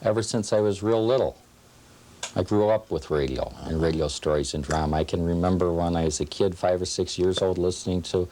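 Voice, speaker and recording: A man's voice: sits low, a little fast, dark tone, rough recording. A middle-aged man talking informally as an interviewed club member, in a reminiscing style. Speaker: old-time radio club member interviewed. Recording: faint background noise.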